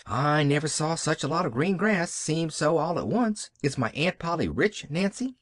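A man's voice: fairly high pitch, lively intonation, normal speed, no echo, studio quality, pitched above the performer's own voice. A man voicing a glad little orphan girl who rambles breathlessly and eagerly in a storybook reading. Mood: delighted, eager.

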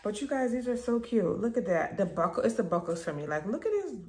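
A woman's voice: mid-range voice, sing-song, a little fast, a little mellow. A woman, chatting informally to viewers in a home vlog and often repeating her phrases. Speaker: lifestyle vlogger. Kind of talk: casual vlog chat to viewers. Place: rooms at home, indoors. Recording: roomy echo, background noise.

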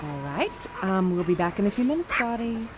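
Actress playing level-headed young woman on the run, animated mid voice, radio drama, music behind. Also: mid-range voice, normal speed, close to an everyday voice. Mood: polite, uneasy. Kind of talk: audio drama acting.

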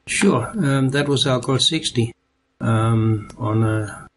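An older man from Denmark, answering interview questions in a measured way with dry humor and frequent hesitations. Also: mid-range voice, a little mellow, slight background noise, low-quality recording. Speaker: guest. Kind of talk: interview conversation.

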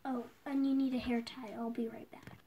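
A woman's voice: on the high side, flat delivery, moderate pace, muffled recording, slight background noise. A young girl chatting casually to the camera while showing a how-to in her small bedroom, talking to herself as she goes. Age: child, around 10 to 12. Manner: chatty, unscripted, self-talking while tying a headscarf. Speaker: vlogger. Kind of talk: casual home tutorial vlog. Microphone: phone or tablet camera set across the room.

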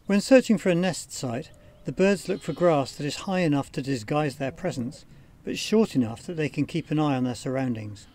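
A man giving scripted documentary narration for a wildlife training film in a measured, explanatory manner. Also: medium pitch, a little mellow.

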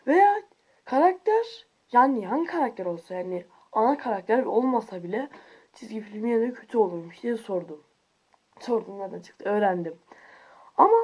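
Woman gamer, kinda husky and mellow, higher voice, whispers then squeals, grumbles at the game. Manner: excitable, jumps between whispered asides and high squeals. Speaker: gaming YouTuber. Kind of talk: casual let's-play commentary.

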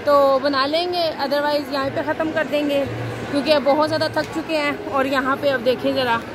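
Very noisy, crowded mall audio carries a woman with a Pakistani accent and a fairly high voice. She talks casually to her viewers, commenting appreciatively on what she shows as she films on a phone. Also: steady tone, normal speed. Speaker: family vlogger. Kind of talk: casual vlog narration to viewers. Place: busy indoor shopping mall. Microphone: handheld phone. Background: loud crowd and mall noise.

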